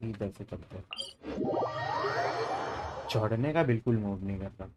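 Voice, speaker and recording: A man's voice: lowish voice, very fast, heavy background noise. A man, streaming a game, reading dialogue aloud with chatty asides. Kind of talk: casual gameplay commentary.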